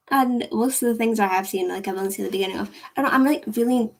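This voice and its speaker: A woman's voice: fairly high pitch, fairly quick, darkish tone. A young Scottish woman chatting casually with her viewers, rambling and giggling, full of "like". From Scotland. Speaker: livestream host chatting with viewers. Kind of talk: casual chat.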